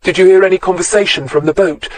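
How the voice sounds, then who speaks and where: A man's voice: sits high, fairly even intonation, quick pace, no room sound, voice pushed up, natural voice. A man reading a polite young police constable. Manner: careful, formal questioning.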